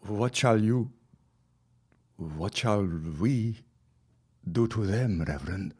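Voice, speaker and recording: Low-voiced, deferential henchman acted by a man. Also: lively intonation, a little mellow, breathy edge, heavily acted. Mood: obedient, cautious. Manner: subservient, measured, formal. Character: deferential, obedient henchman to a villainous reverend. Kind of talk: period radio drama acting.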